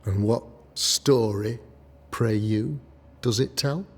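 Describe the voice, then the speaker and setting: A man's voice: fairly low pitch, slow. An actor playing a pompous, self-righteous colonial governor who sermonizes grandly over his townsfolk. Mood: dismissive. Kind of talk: video game cutscene acting. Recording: long reverb under game music.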